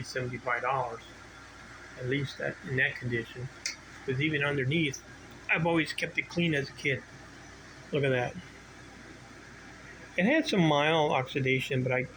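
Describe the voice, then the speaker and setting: A middle-aged or older man giving a casual, unscripted video walkthrough as a hobby collector, rambling and halting as he restarts his sentences. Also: medium pitch, poor audio. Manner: rambling, halting, self-interrupting. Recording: clear steady background noise, ambient hum.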